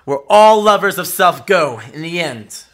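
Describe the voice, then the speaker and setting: A man's voice: high voice, expressive, a little slow, a bit bright. An actor playing a cruel, cynical male captor in an audio drama, preaching at and mocking his victims with menace. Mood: contemptuous, ranting.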